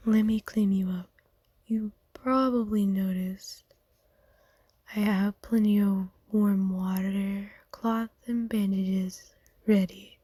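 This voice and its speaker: Woman as a hushed, slow-speaking genteel vampire. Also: mid-range voice, no room sound. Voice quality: soft, breathy whisper. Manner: slow, tender, formal wording. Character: centuries-old wealthy vampire, kind, doting, genteel owner. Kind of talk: ASMR roleplay.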